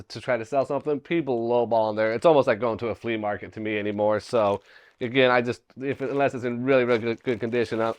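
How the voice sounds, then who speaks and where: A middle-aged man with a voice left hoarse by a recent cold, chatting loosely and thinking aloud as he sorts through bags and boxes. His pitch sits in an ordinary middle range. The sound is dry and close, picked up by a camera strapped to his chest in a dim room. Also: dry recording. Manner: rambling, thinking aloud while digging through items. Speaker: storage locker reseller, channel host. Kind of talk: casual unboxing vlog. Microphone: chest-mounted camera.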